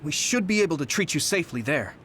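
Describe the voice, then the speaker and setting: A man's voice: a little high, expressive, fast talker, fairly bright tone, slight background noise. A man playing an earnest, polite young rookie soldier in an anime game, with some music behind him. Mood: concerned. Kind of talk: English anime game voice acting.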